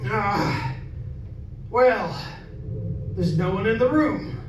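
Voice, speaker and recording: A man playing a high, blustering stubborn father. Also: expressive, drawn-out words, full character voice. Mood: stubborn, dismissive. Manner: brash, barking orders. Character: blustering stubborn skeptical father, ready to punch. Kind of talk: old-time radio drama acting. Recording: distant, echoey room with background noise.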